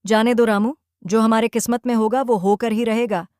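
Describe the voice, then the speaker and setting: A woman plays a poor young village mother in a cartoon moral tale. Her voice sits fairly high, and the recording is dry and close. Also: steady tone, normal speed, a little mellow, clean tone, dry recording, close to an everyday voice. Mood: resigned. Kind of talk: Hindi animated moral story dialogue.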